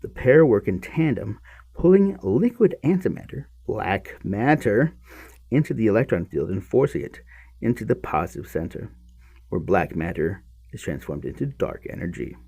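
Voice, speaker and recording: A man reads an explanatory science text aloud in a soft-edged, husky voice. His pitch swings widely as he stresses key phrases, and he halts, repeats words and drops into offhand asides. The tone stays mellow and dark. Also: big pitch swings. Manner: emphatic, halting, with repeated words and muttered asides. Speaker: podcast host reading a book aloud.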